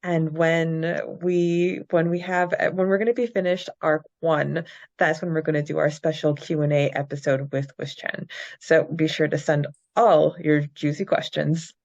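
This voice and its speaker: Woman, lowish voice, casual podcast host, informal presenting, slightly dull lo-fi recording. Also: flat delivery, unhurried, a little mellow.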